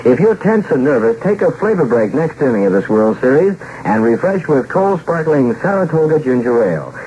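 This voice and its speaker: A man's voice: a little high, sing-song, a little fast, dark tone. An American man reading a scripted 1960s radio advertisement with polished sales delivery. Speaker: station announcer. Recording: dull, slightly noisy old radio recording.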